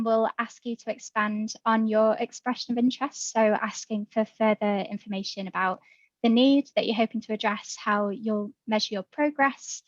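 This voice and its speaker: A woman's voice: medium pitch, no room sound. A woman on an online information call explaining procedure as a programme staffer, matter-of-fact, with dull call audio. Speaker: grant programme staff member.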